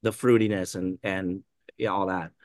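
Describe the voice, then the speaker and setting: A man's voice: low-pitched, flat delivery, normal speed, no echo, clean studio sound. Rambling man from the Pacific Northwest. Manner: rambling, many fillers like "you know". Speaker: brewer guest. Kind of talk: casual podcast chat.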